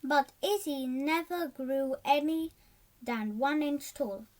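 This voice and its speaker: High-voiced child reading a storybook aloud. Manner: halting, stumbles over words and repeats them.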